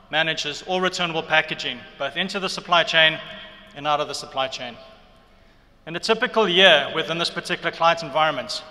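Bright, forceful middle-aged man in a hall. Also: fairly high pitch. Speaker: keynote speaker. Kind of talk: prepared conference speech. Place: large hotel ballroom at a conference. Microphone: podium gooseneck microphone. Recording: reverberant hall sound through PA.

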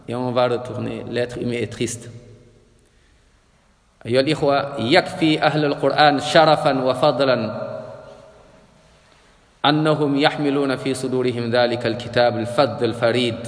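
A man's voice: mid-range voice, steady tone, fast. A man preaching a sermon as an imam, emphatic, stretching his words and rising on repeated lists. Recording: echoey hall.

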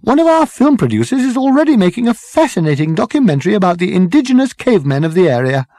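A narrator putting on a young woman from marketing, smug and strident. The voice is pitched very high and lands loudly, with insistent, condescending phrasing for a comic audiobook. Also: big pitch swings, fast talker, no room sound, studio quality, natural voice. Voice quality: strident, shrill.

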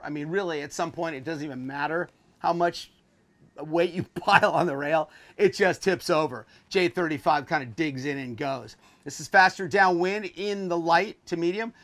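Older guy in his 60s w a highish voice, hosting a boat tour, salty and offhand, chatting in a small cabin. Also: fairly bright tone. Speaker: video host. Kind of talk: casual boat tour talk to camera.

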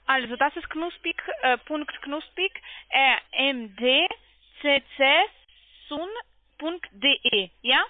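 High-pitched, slow woman on the phone. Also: dry recording. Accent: foreign accent in German. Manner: halting, carefully repeating letters. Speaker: call centre agent. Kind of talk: phone conversation. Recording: dry narrow telephone line.

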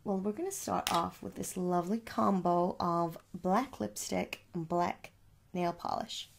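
Soft-spoken woman with a creaky low voice. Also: fairly even intonation, background noise. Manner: gentle, repeats words slowly, reassuring. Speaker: ASMR performer playing a shop assistant. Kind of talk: soft-spoken ASMR roleplay sales pitch. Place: small room indoors.

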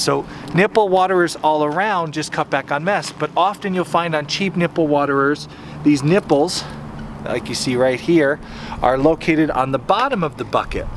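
A man's voice: fairly high pitch, slight background noise. A man in his thirties hosting a homesteading vlog, chatting eagerly about farm gear. He films himself with a handheld camera in a barn and a farmyard. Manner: upbeat, chatty, enthusiastic, with some stumbles. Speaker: homesteading channel host and father. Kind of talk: casual product demo vlog. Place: wooden barn and outdoor farmyard. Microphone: handheld camera at arm's length.

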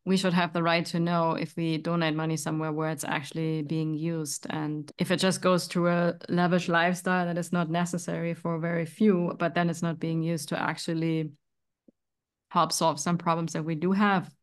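A woman's voice: on the low side, level tone, normal speed, clean tone. A woman in her thirties, from Germany, hosting a friendly interview over a video call with many fillers. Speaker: podcast host. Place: home room.

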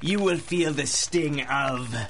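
Taunting, higher-voiced supervillain played by a man. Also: a bit bright, slight background noise. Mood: grim, vengeful. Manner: taunting, theatrical, deliberate menace. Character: vengeful, menacing male supervillain with mind powers. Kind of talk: 1930s radio acting.

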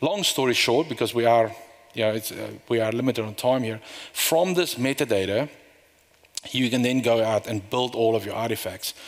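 A middle-aged man giving a conference talk, walking through a live software demo in a loose, rambling way with fillers and restarts. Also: medium pitch, moderate pace. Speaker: conference speaker. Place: conference room. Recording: long reverb of a hall.

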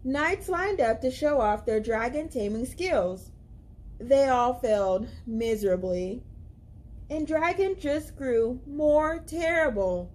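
A young woman with a high voice reads a picture book aloud for children. Her delivery is slow and deliberate, with dramatic emphasis on key words, in an expressive storytime style. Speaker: library storyteller. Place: indoors, library among bookshelves.

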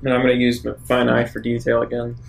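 A man's voice: mid-range voice, completely flat delivery, quick pace, creaky, soft background music. A man chatting casually as a player in a tabletop roleplaying game, asking questions and proposing actions.

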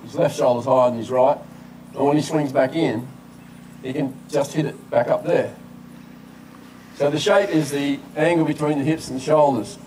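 A man's voice: medium pitch, fairly even intonation, normal speed, a little mellow. An older man with an Australian accent, a golf coach explaining technique conversationally at an outdoor clinic. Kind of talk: live golf clinic demonstration. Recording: distant camera mic outdoors, background noise.

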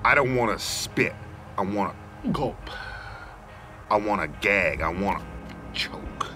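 A man recites rap lyrics with theatrical emphasis in a low voice. His pitch moves around in a very animated way. Music is clearly heard behind him. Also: takes it slowly. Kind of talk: mock-dramatic reading of rap lyrics. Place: outdoors among trees. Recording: music under the voice.

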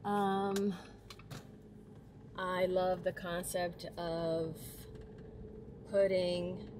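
A woman's voice: on the low side, flat delivery, extremely slow. A young woman from Portugal talking casually to a dashboard camera while driving, thinking aloud with fillers. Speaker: vlogger, dancer. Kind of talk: casual vlog talk to camera. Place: inside a car. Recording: noisy car interior.

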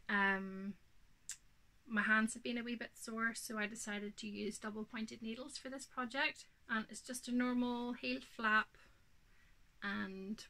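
A woman's voice: medium pitch, bright, forceful tone. A woman in her thirties with a Northern Irish accent, chatting casually about her knitting at home, a little snuffly with hay fever and full of ums. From Northern Ireland. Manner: chatty, unhurried, many ums. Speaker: knitting podcast host. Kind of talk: casual show-and-tell chat. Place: home craft room, indoors.

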